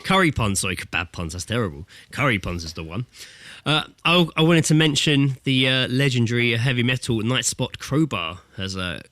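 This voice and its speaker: Bright-voiced British man, casual podcast host. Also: mid-range voice, expressive, moderate pace, dry recording. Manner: sweary, jokey. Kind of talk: casual comedic podcast chat. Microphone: remote internet call recording.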